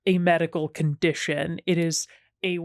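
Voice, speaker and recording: A woman's voice: level tone, moderate pace, dry recording. Chatty woman with a dry close sound. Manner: chatty and self-deprecating, with sudden playful jumps in pitch. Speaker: guest. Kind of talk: casual podcast conversation.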